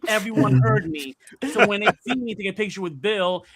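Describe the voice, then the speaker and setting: A man with a loud projecting voice telling stories excitedly in casual chat, often stammering and repeating words. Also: high-pitched, a little fast, crowd noise. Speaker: podcast panelist recounting a convention trip.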